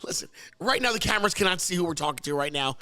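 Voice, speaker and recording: A man hosting a casual talk show and bantering with his co-host in a car service center. He is losing his voice and gets excitable, and his pitch jumps up when he argues. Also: racing. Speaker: podcast host.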